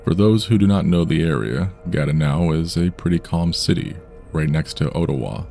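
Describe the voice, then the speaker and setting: A man reading true scary stories aloud in a measured, somber storytelling delivery. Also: low-pitched, gravelly, dark tone, soft background music. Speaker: horror story narrator. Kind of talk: scripted true-crime horror narration.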